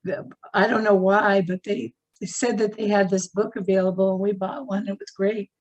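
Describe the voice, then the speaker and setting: A woman co-hosting a video call, giving practical advice while losing her voice and clearing her throat. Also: mid-range voice, fairly even intonation, moderate pace, dull audio. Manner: practical, many fillers. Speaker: expert co-host.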